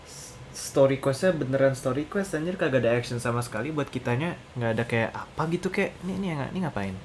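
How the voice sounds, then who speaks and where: A man's voice: mid-range voice, expressive, rapid-fire, background noise. A young man with an Indonesian accent giving casual, slangy, blunt gameplay commentary as a streamer. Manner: blunt, colloquial, swearing. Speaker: game streamer. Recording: voice over clear game music. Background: game music.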